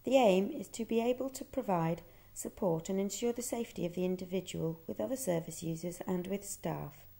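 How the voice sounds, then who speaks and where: A woman reading scripted documentary narration in a calm, measured way. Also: medium pitch, creaky. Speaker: narrator and museum project worker.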